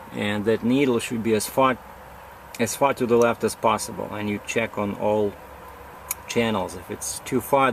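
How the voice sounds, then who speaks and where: A man with a fairly low, creaky voice talks through a hands-on demonstration. His delivery is informal and halting, working things out aloud as he goes. The amateur recording has clear background noise. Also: a bit bright. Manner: halting, improvised, thinking aloud. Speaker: demonstrator giving setup instructions.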